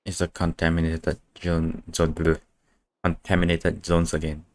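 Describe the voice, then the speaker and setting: Deep, slow-talking male game streamer. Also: monotone, no echo. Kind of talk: casual live gameplay commentary.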